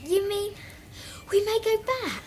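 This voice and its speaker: A woman's voice: very high-pitched, animated, takes it slowly, full character voice. A woman playing a small, eager, wondering girl in a radio drama, with a childlike delivery. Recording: loud ambient noise, slightly distant.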